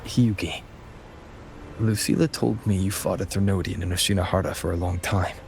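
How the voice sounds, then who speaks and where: A resolute young wanderer in a fantasy game. His voice is fairly low with a gravelly creak, calm and reflective, earnest without showiness, over faint ambience. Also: moderate pace, a little hiss or hum. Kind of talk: scripted video game voice acting.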